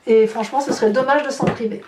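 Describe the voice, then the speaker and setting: A woman giving a tarot reading to her viewers, speaking slowly and emphatically in a reassuring, direct way. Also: a little high, fairly even intonation, far from the mic, background noise. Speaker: tarot reader. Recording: roomy, from off-mic.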